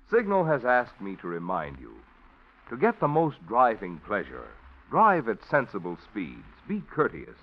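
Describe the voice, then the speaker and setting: A man announcing a 1940s radio drama with sponsor messages, smooth and persuasive. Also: medium pitch. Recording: dull old radio broadcast with music.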